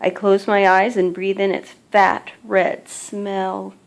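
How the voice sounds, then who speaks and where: Mother reading a picture book: female, mid-pitched voice, measured and expressive storytelling delivery. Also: vocal fry. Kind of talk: children's picture book read-aloud.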